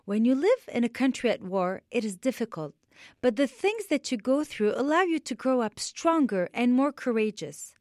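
A woman from Lebanon, speaking gently to children and reading them a storybook, slow and measured. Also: on the high side, big pitch swings, no room sound, clean studio sound.

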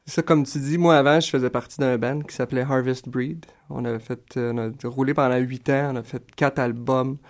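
A man's voice: mid-range voice, fairly quick, few pauses. Young adult male musician, Quebec French accent, easygoing and reflective, loose conversational delivery. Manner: relaxed, thoughtful, with many fillers and colloquial Quebec turns. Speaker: singer-songwriter guest. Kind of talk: casual interview answers.

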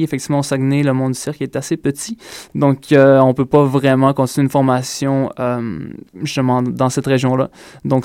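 A man's voice: mid-range voice, a little mellow, clean tone, dry recording, studio quality. A young man from Ontario, raised in Quebec, with a Quebec French accent, answering interview questions as a circus school graduate with frequent hesitations. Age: young adult. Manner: hesitant, many "euh" fillers, earnest.

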